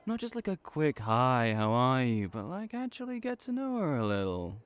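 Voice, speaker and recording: A man's voice: mid-range voice, huge pitch swings, normal speed, slight background noise. A man playing a teasing young ram hybrid who works in a cafe, with playful, needling banter in an audio roleplay. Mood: goading.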